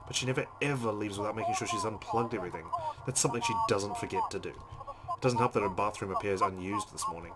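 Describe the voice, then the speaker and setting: A man hosting a let's play, reading visual novel narration aloud with asides, over loud background noise. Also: lowish voice, big pitch swings, quick pace, over loud music.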